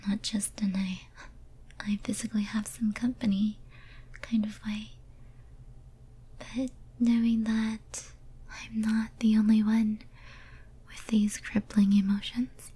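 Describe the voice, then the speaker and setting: Girl doing a lonely obsessed demon lady for asmr, super breathy whispering, slow, intimate, kinda hesitant. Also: medium pitch, flat delivery, dark tone. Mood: lonely, confessional. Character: lonely, clingy, obsessive female demon sealed away.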